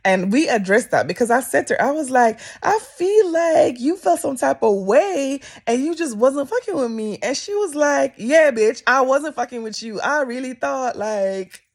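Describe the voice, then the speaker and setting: Jamaican woman, casual vlogger telling a story, gravelly edge, lively quoting voices. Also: high-pitched, normal speed, few pauses. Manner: animated storyteller, voice jumping up when quoting people. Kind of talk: casual storytime chat to camera. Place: office.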